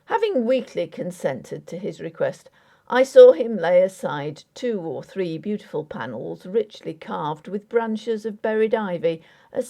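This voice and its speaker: A woman reads a gothic Victorian tale as an audiobook narrator. Her voice is soft and dark in tone, and she takes her time over literary sentences. The pitch rises and falls with plenty of expression. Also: creaky. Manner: measured, literary storytelling. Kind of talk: dramatic scripted narration of a Victorian ghost story.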